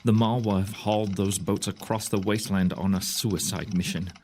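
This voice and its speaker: Senior officer, male actor: gruff, decisive, self-blaming, fairly low pitch, dramatic delivery, slight background noise. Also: steady tone, normal speed, darkish tone, natural voice. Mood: angry, grim. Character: gruff, self-reproaching senior military commander. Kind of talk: full-cast audio drama acting.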